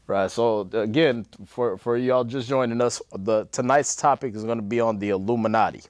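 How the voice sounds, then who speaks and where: A man, co-hosting a religious cable show, talking haltingly with many fillers. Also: mid-range voice, dry recording. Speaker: co-host. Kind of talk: show announcements and agreeing.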